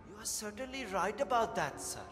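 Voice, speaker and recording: An actor playing a meek, deferential underpaid artist in a video game cutscene. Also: male voice, sits high, animated, fairly quick. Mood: eager to please. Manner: deferential, obsequious. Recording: long reverberant hall, music behind.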